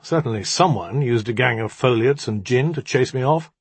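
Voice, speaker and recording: A man voicing a proud old spirit in rat form for a storybook, sardonic, brisk and easily huffy. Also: mid-range voice, expressive, breathy edge, no room sound. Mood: offhand.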